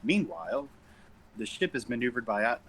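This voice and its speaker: A man's voice: medium pitch, very animated, moderate pace, a bit bright, slight background noise. A man narrating script directions in an informal read-through, stumbling on words and correcting himself. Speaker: narrator reading stage directions.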